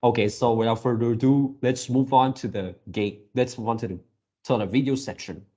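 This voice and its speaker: A man's voice: medium pitch, steady tone, brisk, dark tone, lo-fi sound. A man giving casual reaction commentary as a video creator, rambling like an enthusiastic fan.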